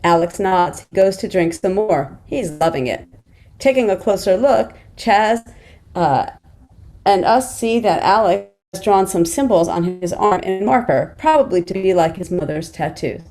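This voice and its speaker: A woman's voice: medium pitch, expressive, unhurried, dark tone. A woman narrating stage directions in a screenplay table read.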